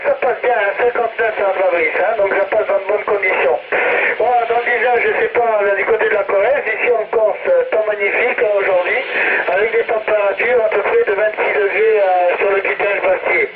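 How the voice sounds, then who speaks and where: A man talking casually as a CB radio operator, repeating himself and punctuating his phrases with filler tags, over a radio link. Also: high voice. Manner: repetitive, peppered with "hein" and "voilà". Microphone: CB transceiver microphone. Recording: tinny narrowband CB radio, loud static.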